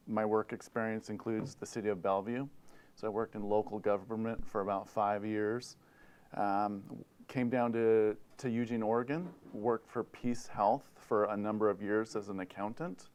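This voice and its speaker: A man's voice: on the low side, darkish tone, clean tone. A middle-aged man from Hawaii giving a presentation at a conference, speaking with many fillers and repeated words into a lapel mic. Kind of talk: presentation talk. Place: conference room, indoors.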